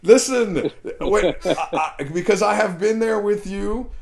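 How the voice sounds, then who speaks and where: 40s host guy, kinda gravelly, jokey and chatty on a live stream. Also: high-pitched. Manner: chatty and teasing, quick to laugh.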